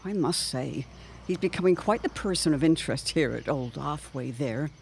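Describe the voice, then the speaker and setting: A woman's voice: deep voice, lively intonation, moderate pace, creaky, darkish tone. A woman acts out an anxious matron of a period radio drama, given to premonitions. She speaks in ornate, formal, theatrical phrases. Crickets chirp behind her in a somewhat noisy recording. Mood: arch, probing. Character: Jane Pembroke, anxious, eloquent older neighbourhood matron with premonitions.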